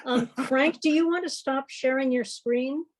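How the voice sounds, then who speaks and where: Higher-voiced woman moderating a meeting. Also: measured pace, rough recording. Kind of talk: informal meeting hosting. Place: online video meeting.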